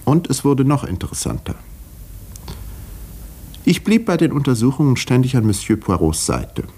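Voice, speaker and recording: An actor playing an observant doctor who narrates a murder case in a measured, dryly ironic way, in the style of 1950s German radio drama. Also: male voice, mid-range voice, very animated, dark tone, slightly rough. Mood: measured, reflective. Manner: measured, literary, dryly wry storytelling.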